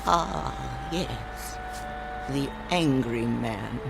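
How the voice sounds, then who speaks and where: A woman's voice: very deep voice, very slow, vocal fry, background noise, exaggerated voice. An actress playing a cryptic, wise old female fortune teller in a radio drama, speaking with solemn deliberation. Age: older.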